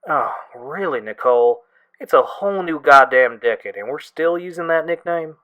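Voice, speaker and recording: A man playing a wry, exasperated but affectionate young friend in an audio drama, talking casually with a gently complaining manner. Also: a little high, lively intonation, moderate pace, phone-quality sound, lightly acted.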